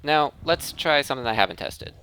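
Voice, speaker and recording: Bright-voiced man doing lively game commentary. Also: mid-range voice, fairly quick, a little hiss or hum. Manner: self-interrupting, thinking aloud. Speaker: gaming video host. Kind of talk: casual gameplay commentary.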